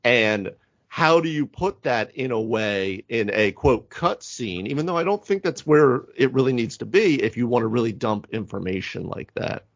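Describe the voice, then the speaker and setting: Male podcast co-host, mid-pitched, emphatic explainer in casual discussion, slightly lo-fi sound. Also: animated, moderate pace. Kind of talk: casual panel discussion on tabletop role-playing. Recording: dull, band-limited audio.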